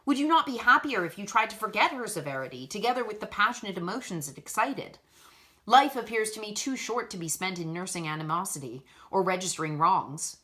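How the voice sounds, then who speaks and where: A woman reading a gentle, pious schoolgirl's speech in a soft, earnest, measured way. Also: mid-range voice, expressive. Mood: earnest, serene.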